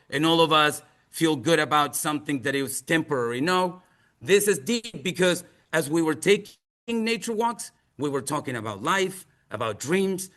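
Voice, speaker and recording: A man from Chile with a Chilean accent, giving a presentation in English as a non-native speaker in an earnest, emphatic and deliberate manner. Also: a little high, bright, forceful tone. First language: Spanish. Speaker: museum outreach presenter. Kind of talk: presentation to an audience with slides.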